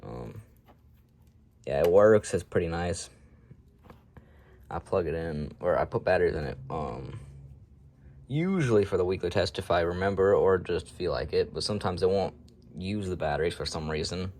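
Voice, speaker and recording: A man's voice: sits low, animated, moderate pace, pauses often, darkish tone. A man giving a casual, unscripted show-and-tell as a hobby collector, talking in an offhand, matter-of-fact way with rambling asides.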